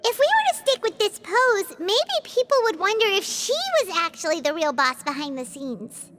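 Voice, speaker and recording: A woman's voice: extremely high pitch, sing-song, normal speed. A woman voicing a tiny, chirpy female companion creature who talks about herself in the third person, in a game cutscene. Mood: playful. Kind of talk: video game cutscene dub. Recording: game cutscene with loud music.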